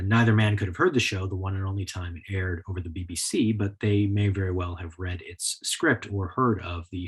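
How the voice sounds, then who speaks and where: A man giving a prepared history lecture in a measured, explanatory style. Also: lowish voice, slightly rough. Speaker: lecturer, author.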